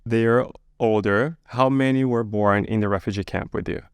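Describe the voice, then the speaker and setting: A man hosting a podcast, asking curious, gently probing questions with some fillers. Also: lowish voice, steady tone, moderate pace, clean tone, dry recording. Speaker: podcast host. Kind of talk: interview questions. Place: podcast studio.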